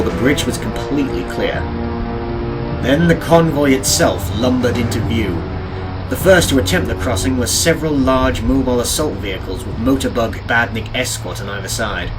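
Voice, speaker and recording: A man's voice: medium pitch, low-quality recording. Male storyteller narrating over music. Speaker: amateur audiobook narrator. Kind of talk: scripted dramatic audiobook narration of a fan adventure story. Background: game and cartoon soundtrack music.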